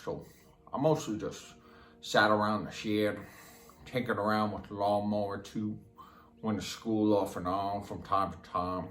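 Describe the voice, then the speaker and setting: An actor playing a simple, slow, matter-of-fact rural Southern man who confesses in a halting, plain-spoken, repetitive drawl, as a dramatic film monologue. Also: fairly low pitch, a bit bright. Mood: flat, resigned. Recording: roomy echo, music underneath.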